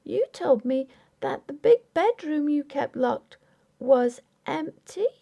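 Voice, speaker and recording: A woman's voice: sits high, big pitch swings, slow, creaky, warm tone, pitched above the performer's own voice, exaggerated voice. A woman voicing an indignant, tearful, stubborn young Victorian wife in a ghost story audiobook, with formal, emphatic speech. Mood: accusing. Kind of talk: dramatic audiobook character acting.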